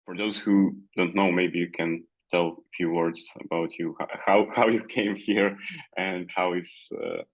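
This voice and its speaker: A man interviewing a guest, asking his questions haltingly and restarting his words. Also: sits low, fairly even intonation, soft background music, poor audio.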